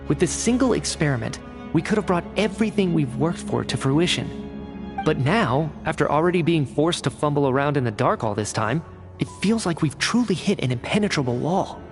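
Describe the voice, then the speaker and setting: A man's voice: a little high, expressive, a little fast, music in the background. A man voicing a cool, rational young scientist in a video game, explaining precisely and matter-of-factly. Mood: explanatory. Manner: precise, analytical, matter-of-fact. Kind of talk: video game voice acting, expository dialogue.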